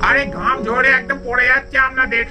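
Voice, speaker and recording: Man as eager ice cream hawker, very high voice, persuasive patter, puppet story, distant, music behind. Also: steady tone, a little fast. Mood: hawking. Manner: calling out, salesman's patter. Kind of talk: puppet doll story voice acting.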